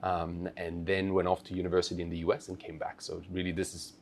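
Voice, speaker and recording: Low, gravelly man in an interview. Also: monotone, fast, darkish tone, slight background noise. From Switzerland-born, raised in Asia. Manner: hesitant, many fillers and restarts. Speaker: guest, company founder. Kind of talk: conversational interview.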